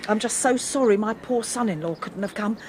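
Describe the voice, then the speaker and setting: A woman's voice: mid-range voice, darkish tone, slight background noise, natural voice. A woman playing a scheming, politely insinuating middle-aged mother-in-law in a radio drama. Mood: feigned concern. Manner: genteel, deliberate, insinuating. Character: scheming older mother-in-law, outwardly polite, quietly sly.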